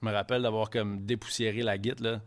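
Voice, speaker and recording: A man's voice: lowish voice, level tone, fast talker. A man from Quebec City, in his thirties, with a Quebec French accent, a chef guest in a casual podcast interview, rambling in franglais with frequent swearing.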